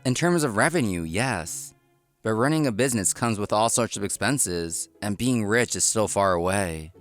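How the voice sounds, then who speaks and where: Man acting earnest restaurateur, animated storytelling over music. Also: on the low side, moderate pace, natural voice. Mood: earnest, reflective. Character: earnest, work-obsessed young male restaurant owner, easily flustered. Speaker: narrator and lead character. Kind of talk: scripted manga story narration and dialogue. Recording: music behind the voice.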